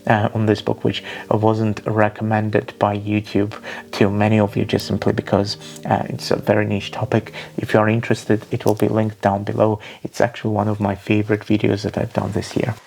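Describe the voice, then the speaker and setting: Young guy w talking books, gravelly low voice, lots of ums and restarts. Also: monotone, normal speed, a little mellow. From Armenia. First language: Russian. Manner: thoughtful, earnest, with frequent fillers and restarts. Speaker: book vlogger. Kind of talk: casual book recommendations.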